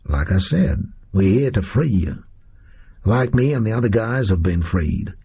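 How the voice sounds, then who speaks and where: A man doing a gravelly, drawling gunman. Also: lowish voice, expressive, unhurried, dark tone, exaggerated voice. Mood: sardonic. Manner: drawling, casual slang. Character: tough American male gunman, blunt and sardonic. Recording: muffled lo-fi recording.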